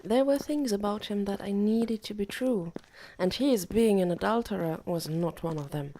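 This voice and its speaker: A woman's voice: medium pitch, moderate pace, dark tone, a little hiss or hum, low-quality recording. A woman reading a first-person novel as an audiobook narrator.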